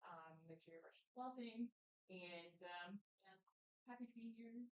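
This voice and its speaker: A woman's voice: a little high, moderate pace, echoey room, dull audio. A woman in her late thirties, a guest on a panel chat show, talking in a chatty, upbeat way with plenty of fillers. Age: 30s. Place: studio.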